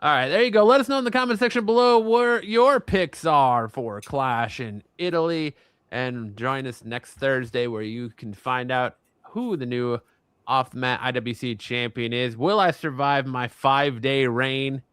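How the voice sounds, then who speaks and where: A man with a smooth, clear voice hosts a casual sports fan panel. He teases like a ring announcer, and the sound is dry and close. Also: mid-range voice, measured pace, a bit bright, no room sound. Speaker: host of a wrestling panel show.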